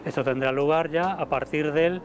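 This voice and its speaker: A man in his fifties, a motor show director, speaking to camera in a halting way with many fillers and repeated words, recorded outdoors on a lapel mic. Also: fairly high pitch, slight background noise. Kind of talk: interview to camera. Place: outdoor terrace above a city port.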